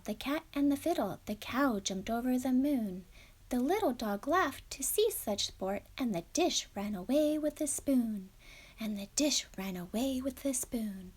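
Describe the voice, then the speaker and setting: A woman preschool teacher reading a storybook aloud and chatting to young children, expressive and full of questions for her listeners. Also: fairly high pitch, slightly rough.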